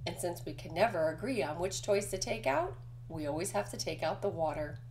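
A woman voicing a grumpy, loud little male mouse for a picture book, indignant and emphatic. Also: fairly low pitch, expressive, brisk, slight background noise, close to an everyday voice. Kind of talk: children's picture book read-aloud.

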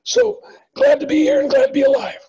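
An older man with a raspy voice introduces himself as a biology professor on a video call panel. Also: extremely high pitch, big pitch swings, brisk, warm tone. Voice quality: raspy voice from past vocal cancer. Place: home room, video call. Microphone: webcam on video call. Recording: distant muffled video-call audio.

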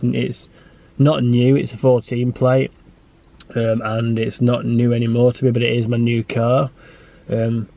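British male vlogger, 30s, nasal from a cold, monotone with some fry, chatty and meandering, recorded in a car. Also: mid-range voice, dry recording, dull audio. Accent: British English. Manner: rambling, with many restarts. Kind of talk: casual walkaround vlog. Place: in a parked car.

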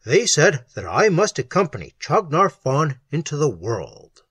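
A man voicing a tormented, trembling male explorer, halting and anguished, in a horror audiobook. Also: a little high, wildly swooping pitch, fairly bright tone, husky, no room sound, exaggerated voice. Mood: haunted, fervent. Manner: halting, moaning and sobbing, anguished. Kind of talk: dramatic horror audiobook acting.

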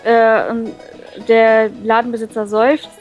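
A high-voiced woman, a native German speaker, co-hosts a visual novel let's play. She reads on-screen text aloud and comments casually, often stopping to find a word. Music plays faintly behind her. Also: little pitch movement, a little mellow. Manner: halting, searching for words.

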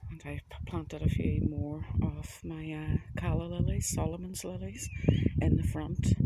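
Very noisy outdoor phone audio of a Northern Irish man giving a casual garden tour. He speaks at an unhurried, slow pace in a gentle, chatty way, with a lot of creak in his voice. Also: on the high side. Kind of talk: casual unscripted vlog narration. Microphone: handheld phone camera.

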